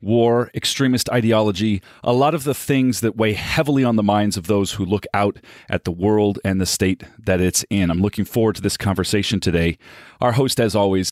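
Low-voiced male radio host. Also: darkish tone, no echo, clean studio sound. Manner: measured, articulate questioning. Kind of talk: radio interview.

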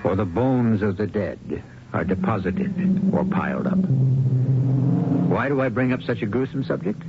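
A man hosting and narrating a mystery radio drama in an ominous, deliberate, theatrical style. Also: medium pitch, heavy background noise. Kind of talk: dramatic scripted narration, old-time radio. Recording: dull old radio recording under loud music. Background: loud music.

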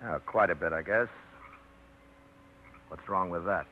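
Dry, low-voiced truck-line man. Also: a little slow. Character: blunt, well-read truck-line man, war veteran. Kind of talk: 1950s radio drama acting. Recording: tinny, hissy 1950s radio broadcast.

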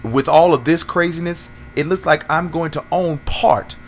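A man playing an earnest, self-assured senator in an audio drama, smitten and quick to defend himself. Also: fairly high pitch, slight background noise, natural voice. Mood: defiant. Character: smitten, self-assured male senator fighting for common people.

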